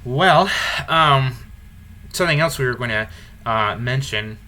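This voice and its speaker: A man hosting a podcast, talking earnestly and candidly about his faith in a deep low baritone, often restarting his phrases. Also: lively intonation, a little slow, bright, forceful tone. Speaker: podcast host. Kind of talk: earnest conversational podcast talk.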